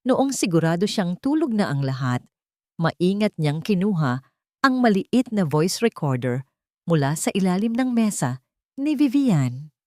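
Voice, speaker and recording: A woman narrates a dramatic audio story with steady suspense. Her voice sits on the low side yet moves expressively up and down. The recording is dry and close, with no room around it. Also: dry recording.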